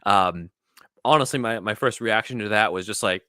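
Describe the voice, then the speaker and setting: Really deep voiced guy, bright punchy tone, dry sound, casual guest chat about writing and acting. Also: normal speed, clean tone, no room sound. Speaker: guest, writer and voice actor.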